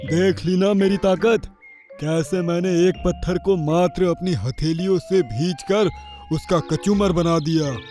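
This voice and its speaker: A clever fat cartoon elephant, played by a man, boastful and sure of himself. The voice is very high for a male, with faint music under it. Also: a little slow, a little mellow. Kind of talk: Hindi cartoon story dialogue.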